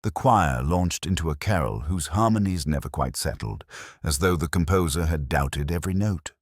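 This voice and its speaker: A man reading a scripted literary detective story in the first person, in a measured, brooding, wry manner. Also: rumbling low, lively intonation, breathy edge, no room sound, clean studio sound. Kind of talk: scripted literary mystery narration.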